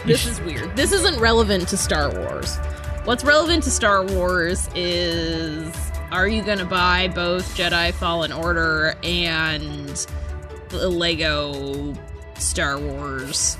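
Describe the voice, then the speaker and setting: A woman's voice: on the low side, lively intonation, slow, music in the background. A woman co-hosting a podcast in casual chat, with a giggly, self-deprecating way of talking. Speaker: podcast co-host.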